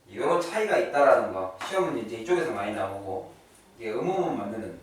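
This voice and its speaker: A man teaching English grammar in a lecture style, emphatically repeating key terms and asking questions he answers himself. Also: medium pitch. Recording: echoey room, distant mic, faint noise.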